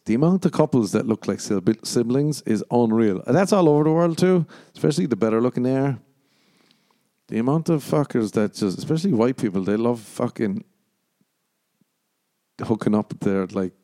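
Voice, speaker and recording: A young Irish man hosting a podcast, rambling and swearing as he jokes, jumping into high squeaky voices for the punchlines. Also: warm tone. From Ireland. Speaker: podcast host. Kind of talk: casual comedic monologue.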